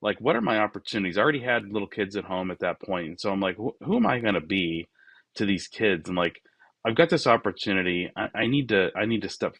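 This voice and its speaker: A man of forty-nine talking casually and reflectively as an interview guest, often voicing his remembered thoughts in a halting way. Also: lowish voice, expressive, fairly bright tone, no echo. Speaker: podcast guest, business founder.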